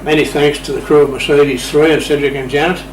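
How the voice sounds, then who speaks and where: A man talks informally as an interview guest, his voice a little on the high side. He is in a reverberant room with plain background noise, telling stories in an unscripted way. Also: fairly even intonation, fast. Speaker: radio club interview guest. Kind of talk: anecdotal, informal talk. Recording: echoey room, distant mic, clear noise.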